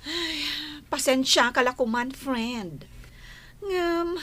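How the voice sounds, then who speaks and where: A woman's voice: high voice, slow, fairly bright tone. A woman playing a gossipy, disapproving but sympathetic village confidante in a radio comedy drama. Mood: teasing, sympathetic.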